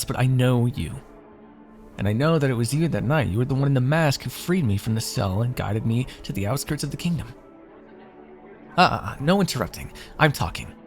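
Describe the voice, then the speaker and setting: A man acts out a smug royal in love, for a fantasy audio roleplay. His mid-pitched male voice has a heavy, gravelly fry, and his delivery is theatrical and teasing, with courtly phrasing. Music plays behind him. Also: close to an everyday voice. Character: smug, lovestruck young royal, a teasing former captive.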